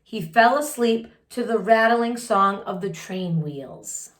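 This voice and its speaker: A woman reads a storybook aloud for children at a slow, deliberate pace. She tells it expressively and breaks off to add her own comments and questions. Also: medium pitch, lively intonation. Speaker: storytime reader and teacher.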